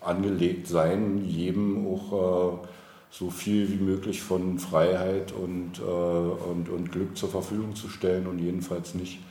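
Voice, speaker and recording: Male interview guest, low register, thoughtful, halting delivery, thinking aloud, roomy recording. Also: level tone, dark tone. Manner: hesitant, searching for words, thinking aloud. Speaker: interview guest, local politician. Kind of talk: reflective conversational interview. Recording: roomy, echoey indoor sound.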